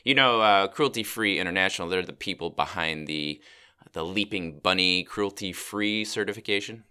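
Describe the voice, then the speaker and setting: A man's voice: deep voice, normal speed, pushed and bright, clear, resonant tone. A middle-aged man co-hosting a podcast while he has a cold, chatting casually with fillers and restarts. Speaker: co-host. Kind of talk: casual chat.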